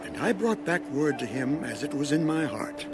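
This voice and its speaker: An actor playing a steadfast eighty-five-year-old warrior in a solemn, measured dramatized Bible reading. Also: male voice, on the high side, very animated. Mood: solemn, proud. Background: music.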